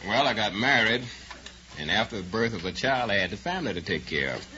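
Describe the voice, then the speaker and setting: Through a dull, faintly noisy old radio recording comes an American man in his forties with a lowish, forceful voice. He answers as a quiz contestant, matter-of-fact and slightly hesitant. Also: sing-song, fairly quick. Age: 40s. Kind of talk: plain answers in an interview.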